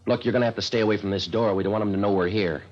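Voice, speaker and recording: An actor playing a terse, impatient police detective who narrates his case in a clipped, deadpan American voice, in 1950s radio drama style. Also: on the low side, racing, a little hiss or hum, lightly acted. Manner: deadpan, clipped, matter-of-fact. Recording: dull lo-fi 1950s radio recording, music behind.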